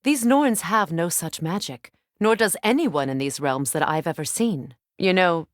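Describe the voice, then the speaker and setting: A dry, intimate recording carries a proud Norse goddess for a video game. Her voice sits fairly low for a woman. She speaks formally and with measured composure, as a determined queen of warriors. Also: lively intonation, no echo. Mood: composed, explanatory. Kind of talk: scripted video game dialogue.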